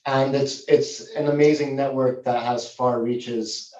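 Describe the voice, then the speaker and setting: A man's voice: mid-range voice, steady tone, measured pace, darkish tone. Fluent young man, alumnus guest; far-off, echoey, lo-fi call audio. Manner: articulate, fluent. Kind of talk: casual remote testimonial. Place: office. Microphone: video call. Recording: roomy, distant, dull video-call audio.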